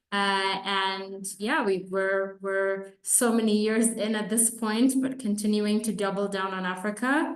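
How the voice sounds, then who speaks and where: A woman from Kenya giving conversational answers as an investor being interviewed on a webinar call. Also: medium pitch. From Nairobi, Kenya. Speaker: venture capital investor, interview guest. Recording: roomy echo on webinar call.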